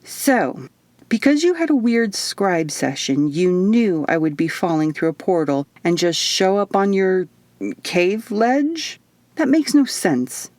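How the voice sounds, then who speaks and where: A woman's voice: fairly low pitch, lively intonation, takes it slowly, a little mellow, no room sound. A woman voicing a sarcastic, skeptical young woman in an audiobook, blunt and exasperated.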